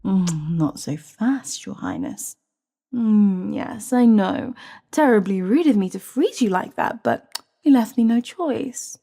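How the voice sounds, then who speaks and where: A woman playing a scheming, smug evil royal advisor with magic in a fantasy audio drama, breathy and often near a whisper, taunting with mock courtesy. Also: medium pitch, sing-song, dark tone, full character voice. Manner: theatrical, mock-courteous, taunting, drawn-out phrasing.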